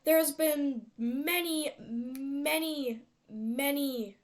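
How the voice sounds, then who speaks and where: A composed female news anchor, acted by a woman, delivers a scripted local bulletin. Her voice sits a little high, and her manner is formal and presentational. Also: darkish tone, close to an everyday voice.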